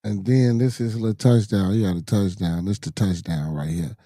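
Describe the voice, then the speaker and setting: Hoarse, low-voiced man chatting casually. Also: steady tone, fairly quick, mellow, dry recording, studio quality. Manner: loose slangy talk, muttering to himself while fumbling with screen sharing. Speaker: podcast host. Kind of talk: casual live-stream chat.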